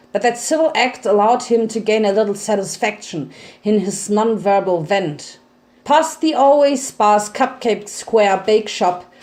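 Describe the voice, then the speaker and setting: A woman's voice: mid-range voice, darkish tone. A woman reading fan fiction aloud as a dramatic storyteller. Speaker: narrator.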